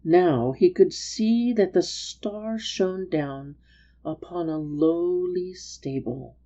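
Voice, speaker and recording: A woman reads a story aloud with drama. Her voice is low and soft-edged, and she takes her time over each phrase. The recording sounds a bit dull. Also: takes it slowly.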